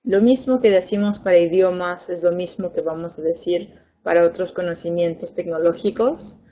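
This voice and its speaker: A young woman education advisor, a native Spanish speaker, giving a webinar lesson in a clear, patient way, stressing points by repeating words. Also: fairly low pitch, normal speed, mellow. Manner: clear, patient explaining with fillers and repeated emphasis.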